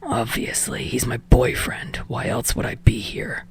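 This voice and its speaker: Audiobook role: surly, impatient young guy female reader, whispery low growl, clipped delivery. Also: sing-song, unhurried, exaggerated voice. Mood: sullen, impatient. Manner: sullen, clipped. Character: sullen, impatient young man with a fiery temper.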